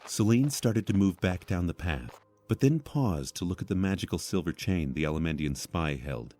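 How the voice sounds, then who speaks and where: A man's voice: low voice, lively intonation, normal speed, dark tone, slight background noise. A man reading dramatic scripted fantasy narration as a storyteller.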